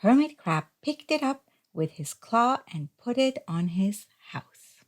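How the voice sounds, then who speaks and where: A woman reading a children's story aloud as narrator, in a deliberate, expressive storytelling manner. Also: fairly high pitch. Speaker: storybook reader.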